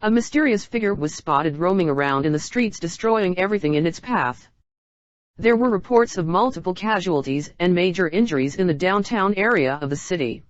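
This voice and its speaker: A woman's voice: on the low side, expressive, fairly quick, no room sound, highs cut off. A woman reading a scripted emergency broadcast announcement in a formal, instructional manner.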